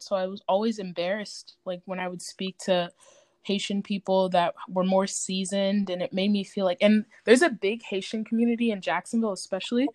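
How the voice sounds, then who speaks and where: A young woman talking candidly in a group discussion, in an informal, halting, reflective way with frequent "like". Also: mid-range voice, dry recording.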